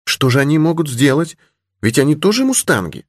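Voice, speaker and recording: Male narrator as a brave young lady, lifted pitch, candid, assured, adventure storybook, dry close sound. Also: sing-song, fairly quick, no echo. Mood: polite, curious. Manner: candid, charmingly simple, assured. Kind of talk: audiobook character in adventure novel.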